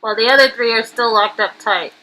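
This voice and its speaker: A woman's voice: a little high, fairly quick, bright, forceful tone, a little hiss or hum, poor audio. A woman co-hosting a game read-aloud, performing dialogue in a casual, conversational way. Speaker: co-host reading game dialogue.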